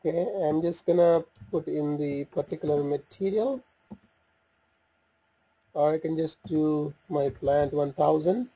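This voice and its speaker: A man with a slightly husky voice giving an unscripted software walkthrough, hesitant and thinking aloud as he goes. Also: on the high side, measured pace, poor audio. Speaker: software demonstrator. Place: voice-over on a screen recording.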